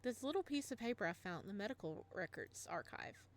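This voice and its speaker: A woman voicing a cheerful, teasing woman friend in a visual novel, brisk and matter-of-fact. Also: mid-range voice, sing-song, gravelly. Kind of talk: reading a visual novel aloud.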